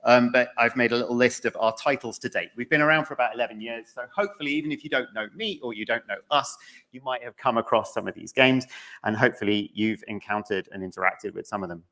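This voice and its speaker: A man's voice: medium pitch, fast, bright, forceful tone. A man speaking to an audience at a conference, conversational, with wry, casual asides. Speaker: conference speaker, game studio narrative director. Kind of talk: public conference talk, conversational. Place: conference room in front of an audience.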